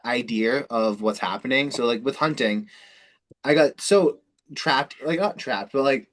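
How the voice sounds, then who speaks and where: A man's voice: medium pitch, measured pace, a bit bright. A man hosting a self-improvement call, explaining things off the cuff in a rambling, informal way with frequent swearing. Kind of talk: casual, unscripted explanation on a call.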